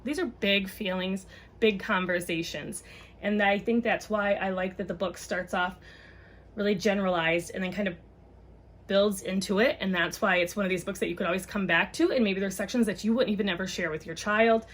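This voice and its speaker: A woman's voice: mid-range voice, steady tone, a little fast. A woman reading a children's book aloud and chatting casually to parents, explaining things simply.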